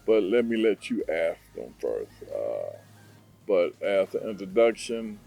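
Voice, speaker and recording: Earnest man, mellow voice. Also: deep voice, big pitch swings, gravelly, faint music behind. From Detroit, USA. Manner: earnest, unhurried, with frequent fillers. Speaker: hotel owner. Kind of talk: interview answers. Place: hotel in Zanzibar.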